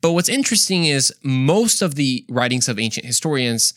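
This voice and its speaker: Male podcast host, mid-range pitch, casual storyteller with a joking, playful streak, recorded dry. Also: sing-song, normal speed, fairly bright tone, no room sound. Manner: playful, joking, with exclaimed asides and mimicked dialogue. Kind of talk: casual, conversational storytelling to listeners.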